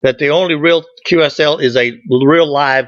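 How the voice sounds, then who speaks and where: A man's voice: on the high side, fairly even intonation, moderate pace, a bit bright, no room sound. A man from Georgia with a Southern US accent, presenting an informal club class in a folksy, joking way. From Georgia, southern United States. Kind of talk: informal slide lecture with group chat. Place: indoor meeting room, at a lectern. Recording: dull, muffled room recording.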